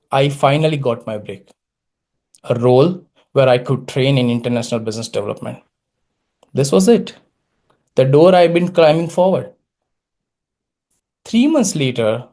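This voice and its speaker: A man in his late thirties from India, a native Gujarati speaker with a Gujarati-accented English, telling his story as a prepared public speech with dramatic pauses and wry, self-deprecating humor. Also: medium pitch, darkish tone. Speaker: Toastmasters speaker.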